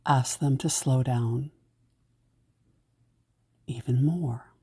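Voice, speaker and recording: A woman with a low, mellow voice narrates a guided meditation. She takes her time with each gentle, soothing instruction. The sound is close and dry, with no room around it. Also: very slow, slightly rough, no room sound.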